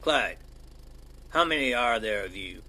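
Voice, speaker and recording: An actor playing a paranoid, folksy rural gun-store owner with a gruff drawl in an audio drama. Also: male voice, mid-range voice, lively intonation, measured pace, sharp tone. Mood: folksy, boastful.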